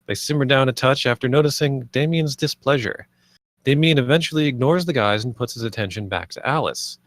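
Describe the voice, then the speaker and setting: A man's voice: mid-range voice, fairly quick, no echo, studio quality. A man reading out stage directions and scene headings as narrator at a film table read.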